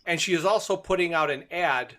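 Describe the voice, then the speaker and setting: Bright, higher-voiced man chatting as podcast co-host. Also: brisk. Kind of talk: casual discussion and retelling of a radio drama.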